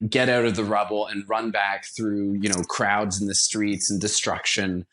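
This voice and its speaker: A man with a fairly low-pitched voice talks as a guest in a conversational interview. He explains his work as a director with evident enthusiasm. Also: level tone, moderate pace, fairly bright tone. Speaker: podcast guest, radio theatre director.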